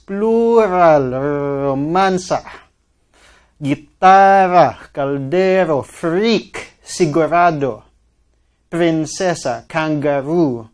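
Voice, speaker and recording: Slow, rhythmic man. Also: a little high, clean tone. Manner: repeating word lists in a slow, rhythmic, drawn-out way. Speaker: guest. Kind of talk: spoken word poem.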